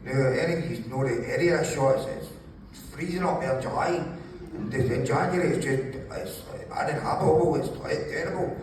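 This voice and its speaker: Gravelly man, animated interview guest, higher-pitched, recorded distantly in a very echoey room. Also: a little fast. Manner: sweary, jokey, apologises for swearing. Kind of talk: animated casual storytelling. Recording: very echoey room, distant mic, faint noise.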